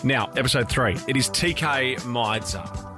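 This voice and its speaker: A man's voice: medium pitch, a little slow, over music. A man hosting a podcast, chatty and self-deprecating, laughing often as he talks with a guest over a video call. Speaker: podcast host. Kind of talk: casual interview chat. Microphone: remote video call.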